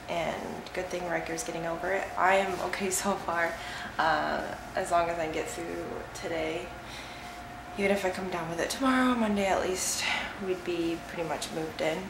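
A young woman vlogging casually as she walks through an empty house, rambling with lots of fillers, filming on a handheld camera. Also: lowish voice. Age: young adult. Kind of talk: casual vlog. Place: empty house with bare rooms, indoors. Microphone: handheld camera at arm's length. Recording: echoey empty room, audible noise.

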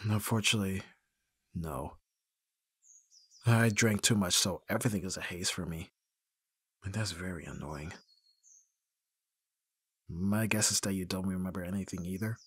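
A man acting an awkward, flustered young best friend in an ASMR roleplay, with a breathy, husky voice and hesitant, stammering delivery. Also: sits low, normal speed, long pauses, fairly bright tone, dry recording. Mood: awkward, subdued. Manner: hesitant, stammering, often dropping to whispers.

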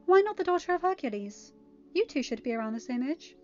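A woman's voice: high-pitched, very animated, brisk, a little mellow, pitched above the performer's own voice. A woman reading a story, voicing a prim, condescending young woman who speaks in a polite, pointed way. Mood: politely condescending. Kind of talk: amateur story reading with dialogue. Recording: dull lo-fi audio, music behind.

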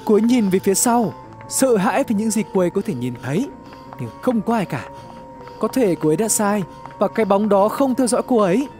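A man narrating a scripted horror story in a suspenseful, expressive, dramatic way. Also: high voice. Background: background music.

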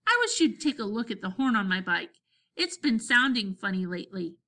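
A woman's voice: medium pitch, sing-song, a little fast, bright, forceful tone. A woman voicing an eager, earnest young boy in a children's storybook. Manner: eager, bursting with insistence.

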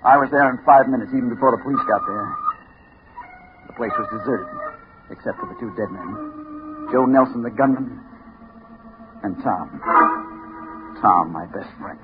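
American hard-boiled reporter, mid-pitched, clipped and determined, vintage phone-thin radio sound. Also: male voice, wildly swooping pitch, normal speed, pauses often, loud music behind the voice. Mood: grim, determined. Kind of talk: 1950s radio drama acting. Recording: noisy, tinny 1950s radio recording.

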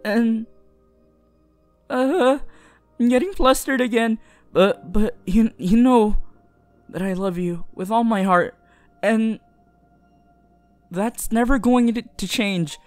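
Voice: mid-range voice, takes it slowly, pauses often, darkish tone, dry recording, soft background music, close to an everyday voice. A performer voicing a shy, earnest, lovestruck teenage boy hero in an anime roleplay, stammering tenderly. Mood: flustered, loving. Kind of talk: anime fan audio roleplay addressed to the listener.

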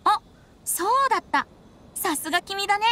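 A woman's voice: extremely high pitch, moderate pace, pushed and bright, a little hiss or hum. A woman voicing a youthful, carefree, whimsical boy bard in a Japanese anime game, playful and airy. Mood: bright, playful.

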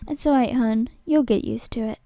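A woman acting a gentle, friendly young woman in an amateur audition for a drama series, soothing and kindly. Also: fairly high pitch, moderate pace, dry recording, lightly acted.

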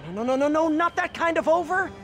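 An earnest, awkward young superhero for a video game. His pitch swings widely as he talks, often haltingly, with music underneath. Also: expressive. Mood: anxious. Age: young adult. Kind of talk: naturalistic video game acting.

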